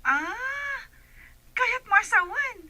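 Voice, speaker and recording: A woman's voice: very high voice, expressive, extremely slow, pushed and bright, full character voice. A woman playing a chatty, eager village friend in a radio comedy drama, with an Ilocano Filipino accent. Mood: curious, friendly.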